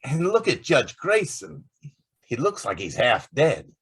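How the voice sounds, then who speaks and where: Man playing a husky, excitable reporter. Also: mid-range voice, measured pace, full character voice. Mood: wry surprise. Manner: slangy, exclaiming. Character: excitable star newspaper reporter. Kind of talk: old-time radio drama acting.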